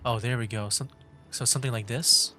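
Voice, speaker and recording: A male game streamer with a medium-pitched voice talks casually through his play. He thinks aloud in an easygoing manner, with clearly audible game music underneath. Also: steady tone, brisk, sharp tone. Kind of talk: casual livestream gameplay commentary.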